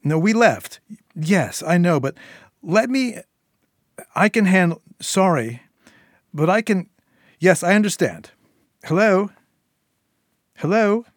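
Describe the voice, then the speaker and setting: A man's voice: sits high, lively intonation, takes it slowly, pauses often, dry recording, studio quality, pitched above the performer's own voice. A man voicing a menacing, volatile criminal woman in an audiobook, with a taunting, sneering manner. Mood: frantic, pleading.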